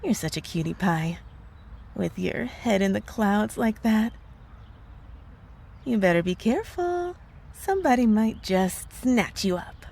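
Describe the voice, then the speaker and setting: A woman playing a possessive witch girlfriend in an ASMR roleplay, cooing and teasing with cutesy sweetness and quiet menace. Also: medium pitch, animated, a little slow, gravelly, pauses often, breathy edge, full character voice. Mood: teasing, gloating. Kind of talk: ASMR roleplay audio drama.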